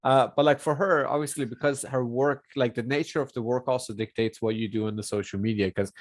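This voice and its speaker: A man with a lingering cough chatting casually as a podcast guest, halting as he searches for words. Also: medium pitch, fairly even intonation, no echo. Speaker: podcast guest and personal trainer.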